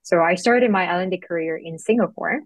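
A woman's voice: on the low side, fairly quick, no echo. A young woman from South Korea, a guest in an interview, speaking thoughtfully and reflectively with frequent fillers. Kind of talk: interview conversation.

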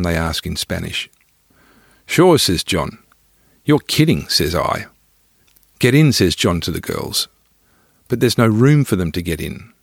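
A man narrates his own adventures as a scripted memoir. His voice is low with a gravelly crackle, recorded close and dry. The delivery is wry, colloquial and understated. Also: dry recording. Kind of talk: scripted first-person storytelling.

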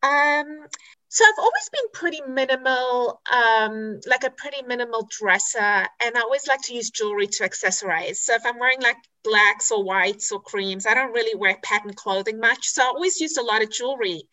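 Bright-voiced South African woman. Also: a little high, low-quality recording. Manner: chatty and candid, with frequent "um" pauses and self-corrections. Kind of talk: podcast interview.

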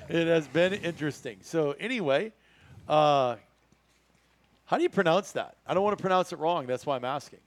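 Middle-aged American man, higher-pitched podcast host. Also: lively intonation, moderate pace, pauses often, no echo. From Iowa, United States. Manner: chatty, joking, folksy exclamations. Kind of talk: casual podcast chat.

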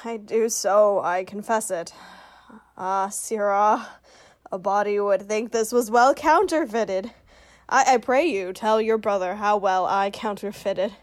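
A woman's voice: mid-range voice, measured pace, no room sound, clean studio sound, natural voice. A woman playing a witty young woman disguised as a youth in a Shakespearean radio play. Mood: faint, protesting.

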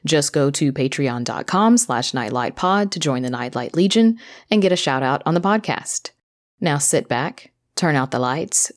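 Woman podcast host, notably low-pitched voice, for scripted show introductions.

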